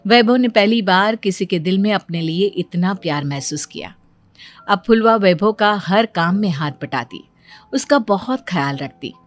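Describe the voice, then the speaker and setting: Medium-pitched woman narrating a cartoon story.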